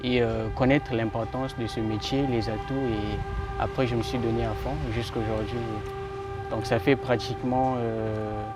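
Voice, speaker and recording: A man's voice: mid-range voice, little pitch movement, moderate pace, loud music behind the voice. A man from the Republic of the Congo, an architecture student, answering interview questions in a thoughtful, hesitant way with many fillers. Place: outdoors in a city.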